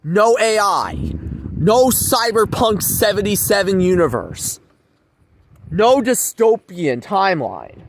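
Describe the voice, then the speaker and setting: Male vlogger, notably high voice, rambling and repetitive, informal address, faint rumbling noise behind him. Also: huge pitch swings, takes it slowly, creaky. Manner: repetitive, emphatic phrasing. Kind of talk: rambling informal monologue to viewers.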